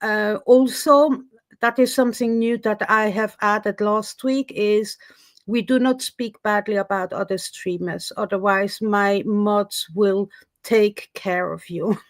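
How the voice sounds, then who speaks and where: A Swiss French woman hosting a casual livestream, chatty and quick to laugh. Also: medium pitch, fairly even intonation, dry recording. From Switzerland. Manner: chatty, laughs often, with fillers. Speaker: livestream host.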